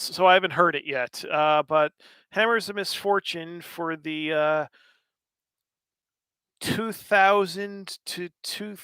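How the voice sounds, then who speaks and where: A man's voice: high voice, bright, forceful tone, smooth, dry recording. An American man in his thirties hosting a casual livestream, answering chat questions in a rambling, wry way with lots of fillers. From United States.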